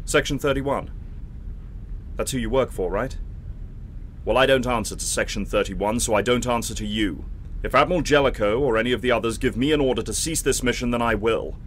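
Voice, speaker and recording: A man's voice: medium pitch, fairly quick, fairly bright tone, slight background noise, lightly acted. A man playing a defiant, brooding starship captain in a science-fiction fan drama, in dramatic dialogue.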